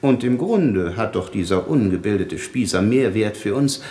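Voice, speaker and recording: An actor playing a shrewd, money-minded music master who flatters smoothly, in the theatrical style of 1960s German radio comedy. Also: male voice, lowish voice, normal speed, natural voice. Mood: cynical, amused.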